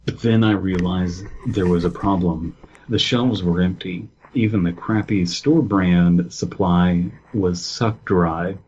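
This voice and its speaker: A man co-hosting a comedy podcast, reading a story and joking in a deadpan, mock-serious way in casual banter. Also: low voice, takes it slowly, muffled recording, a little hiss or hum. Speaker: podcast co-host.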